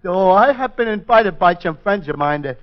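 An actor playing a gentle, dim-witted New man, guileless and hesitant, in a 1940s radio comedy. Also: high-pitched, animated, moderate pace. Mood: guileless, cheerful. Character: gentle, dim-witted, good-natured male dog walker. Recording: dull, lo-fi 1940s radio recording.

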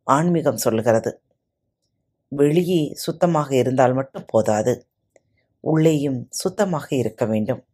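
A woman hosting a podcast, narrating a short moral story with expressive delivery. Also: very deep voice, measured pace, long pauses, slightly rough.

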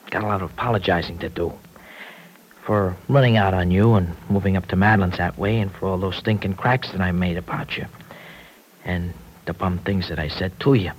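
An actor playing a slangy, brash but soft-hearted young pal in an old-time radio drama, blunt and informal. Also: male voice, deep voice, close to an everyday voice. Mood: sheepish, remorseful. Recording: noisy vintage radio show recording.

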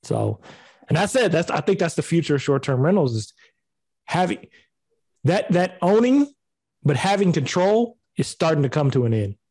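A man's voice: on the high side, big pitch swings, moderate pace, a little mellow, slightly rough, dry recording. A man chatting casually on a podcast about rentals, laid-back and loose.

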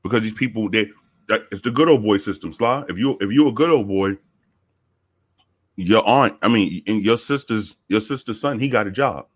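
Very deep-voiced man from the Bronx. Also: normal speed, slightly rough, dry recording. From the Bronx, New York. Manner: profane, animated storytelling with many restarts. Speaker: guest, former police officer. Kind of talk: casual storytelling interview.

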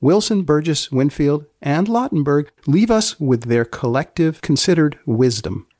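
A man hosting a podcast in a wry scripted style, speaking in a deadpan, mock-solemn way with deliberate phrasing. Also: a little high, no echo, clean studio sound. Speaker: podcast host.

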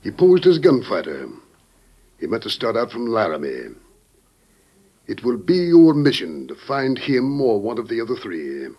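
An actor playing a grave, dignified president with solemn, weighty oratory in an old-time radio drama. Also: male voice, medium pitch, wildly swooping pitch, moderate pace, husky, lo-fi sound, full character voice. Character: grave, dignified American president briefing a secret agent.

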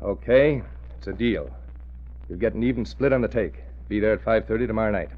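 An actor playing a cocky, scheming bank robber gang boss in an old-time radio drama, curt, tough-talking and sneering. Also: male voice, lowish voice, fairly quick, close to an everyday voice. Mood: wary, dealing. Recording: muffled, noisy old radio recording.

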